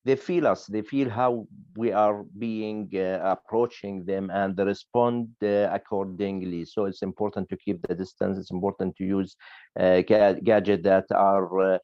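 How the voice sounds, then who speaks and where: A man speaking hesitantly on an online panel as a photographer, with many filled pauses. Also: sits low, steady tone, no echo. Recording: dry, dull, lo-fi sound.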